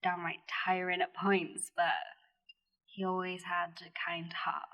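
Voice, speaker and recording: An actress playing a nervous, soft-hearted widowed mother with a breathy, husky voice in an amateur radio play. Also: on the low side, monotone, a little slow. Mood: subdued, shaken.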